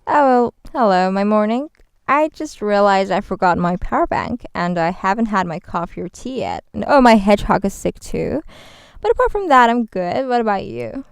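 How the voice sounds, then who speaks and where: A woman's voice: medium pitch, sing-song, moderate pace, vocal fry, smooth, dry recording. A woman from Ghana, a guest on a radio show, chatting in a bubbly, rambling way with frequent restarts in a studio. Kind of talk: casual interview chat. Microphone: studio microphone.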